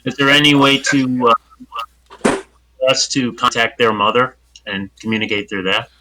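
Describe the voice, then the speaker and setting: A man's voice: mid-range voice, little pitch movement, normal speed, sharp tone, rough recording. A man playing a tabletop role-playing game who talks in a practical way and asks a lot of questions. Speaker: player. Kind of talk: tabletop game planning talk.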